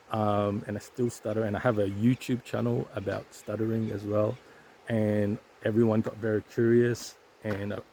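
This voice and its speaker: Low gravelly man who stutters. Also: dark tone, slight background noise, low-quality recording. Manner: stutters, with repeated sounds and restarts. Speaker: YouTuber sharing stuttering advice. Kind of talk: casual vlog talk to camera. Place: outdoors on a nature hike. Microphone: weak handheld setup, shaky.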